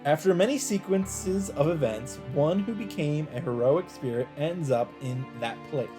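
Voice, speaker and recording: A man reading a visual novel script aloud in an expressive narrating style. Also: on the high side, moderate pace. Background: game music.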